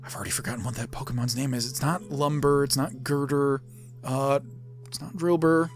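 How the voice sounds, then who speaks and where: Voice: medium pitch, fairly even intonation, brisk, faint music behind. A game streamer with a playful manner, giving casual let's play commentary.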